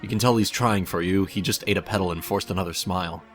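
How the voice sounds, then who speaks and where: A man's voice: sits low, fairly even intonation, fast talker, a bit bright. Male story narrator over faint music. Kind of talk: scripted story narration in second person.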